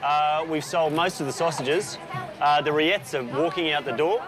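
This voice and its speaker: A man's voice: a little high, moderate pace, over music, crowd noise. Chatty man at a market. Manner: chatty, enthusiastic explaining with fillers. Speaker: presenter. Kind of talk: casual on-camera chat.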